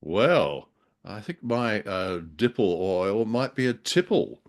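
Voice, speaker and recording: A man's voice: fairly low pitch, big pitch swings, slow. A man co-hosting a podcast in casual chat, jovial and rambling, with hesitant phrasing and playful mock-dramatic asides. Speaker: podcast co-host.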